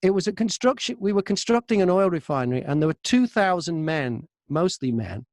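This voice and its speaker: A middle-aged British man with a fairly high voice talks casually in an interview. He is a chatty, self-deprecating storyteller with the ease of a radio presenter. The sound is dry, with very little room in it. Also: very animated, brisk, darkish tone, no room sound. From Britain. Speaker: voice-over artist and former radio presenter being interviewed. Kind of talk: casual anecdotal interview chat.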